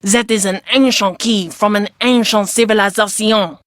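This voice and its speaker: A woman's voice: mid-range voice, steady tone, normal speed, dry recording, natural voice. A woman acting as a shrewd French shopkeeper in a video game. She has a French accent, a mid-range voice and theatrical, formal sales patter. The sound is very dry. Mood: persuasive, coaxing. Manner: formal, slightly stilted foreign phrasing, salesy. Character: shrewd, theatrical French female market vendor.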